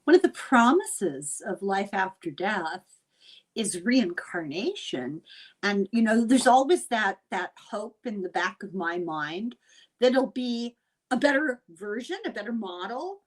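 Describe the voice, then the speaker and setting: A woman's voice: medium pitch, lively intonation, a little slow, poor audio. A woman hosting a casual interview, asking and interjecting in an informal, inquisitive way. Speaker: interviewer, podcast host.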